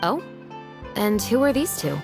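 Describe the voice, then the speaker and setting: Weary patrol captain, dry tone. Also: female voice, medium pitch, very animated, unhurried, creaky. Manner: dry, weary, matter-of-fact. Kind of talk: video game voice acting. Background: game music.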